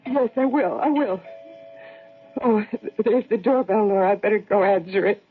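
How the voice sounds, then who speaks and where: A woman playing a fretful housewife and mother barely holding herself together in a radio drama, anxious, halting and pleading. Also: medium pitch, close to an everyday voice. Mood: flustered, polite. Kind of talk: old-time radio drama acting. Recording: tinny narrow-band old radio recording.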